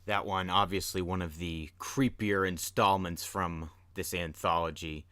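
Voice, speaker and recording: A man's voice: deep voice, steady tone, unhurried, sharp tone. A man hosting a spooky story podcast, narrating in a dramatic storyteller's style. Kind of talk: dramatic fairy-tale narration with casual commentary. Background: music.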